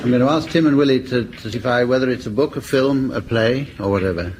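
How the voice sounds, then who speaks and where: A man's voice: mid-range voice, fast, heavy background noise. A man with a British accent hosting a radio comedy panel show, giving running commentary and instructions.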